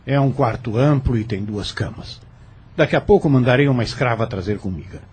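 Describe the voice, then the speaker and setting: A man's voice: medium pitch, fairly quick, darkish tone, slight background noise, close to an everyday voice. A man playing a brusque, formal, commanding master of a colonial plantation house in an audio drama.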